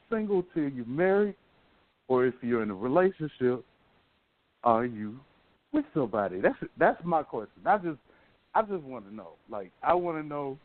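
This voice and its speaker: American man, thin phone-like sound, mid-pitched. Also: no room sound. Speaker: radio talk show co-host. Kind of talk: casual personal talk. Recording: narrow phone-like line, dry.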